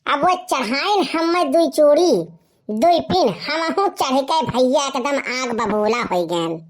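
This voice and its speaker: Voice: high-pitched, expressive, takes it slowly. A performer voicing a boastful young village slacker in a comic cartoon story, with a rustic eastern Uttar Pradesh Awadhi accent.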